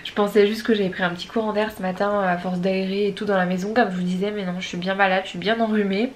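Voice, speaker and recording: A woman's voice: medium pitch, little pitch movement, fast talker, a little hiss or hum. A woman vlogging at home on a handheld camera, stuffed up with a head cold, rambling chattily and laughing often. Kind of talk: casual home vlog. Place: home, indoors.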